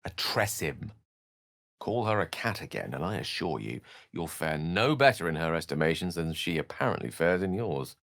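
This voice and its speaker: A learned, self-possessed wizard from a fantasy video game. His voice sits a little low in a dry, studio-clean space. He shapes ornate phrases with care, theatrical flair and dry wit. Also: big pitch swings, normal speed, creaky, a bit bright, no echo, heavily acted. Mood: dry, composed. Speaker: voice actor in a fantasy role-playing game. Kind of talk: theatrical game dialogue in short separate lines.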